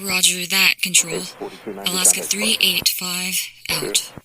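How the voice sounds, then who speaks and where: High-voiced man acting an airline pilot on radio. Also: little pitch movement, takes it slowly, creaky, exaggerated voice, poor audio. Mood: businesslike. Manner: clipped radio procedure. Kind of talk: audio drama acting.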